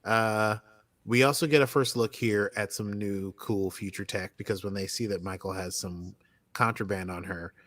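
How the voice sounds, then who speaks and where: A man's voice: on the low side, fairly even intonation, normal speed, a bit bright, no echo. A man co-hosting a casual TV recap podcast, talking in a rambling, conversational way full of loose asides. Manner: rambling, conversational, hedging with like and whatever. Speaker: podcast co-host. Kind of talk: casual TV episode recap chat.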